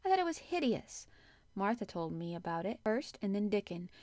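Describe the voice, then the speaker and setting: A woman's voice: mid-range voice, big pitch swings, normal speed, a little mellow, no room sound, lightly acted. A woman voicing an earnest, frank and stubborn young girl in an audiobook story, speaking with enthusiasm. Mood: enthusiastic. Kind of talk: audiobook character acting.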